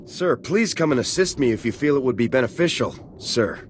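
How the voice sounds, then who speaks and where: A man playing a gruff, cocky sergeant in a video game, with a curt, dismissive way of talking. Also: medium pitch, animated, fairly quick, in a large hall, faint music behind, natural voice. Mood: defiant. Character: gruff, cocky male military sergeant with a harsh temper. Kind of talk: video game cutscene acting.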